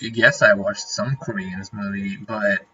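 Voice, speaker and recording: Streamer, Norwegian-born man, bright husky voice, rambling casual chat, dull lo-fi audio. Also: on the low side, steady tone, measured pace. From Norway. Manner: rambling, many fillers and restarts.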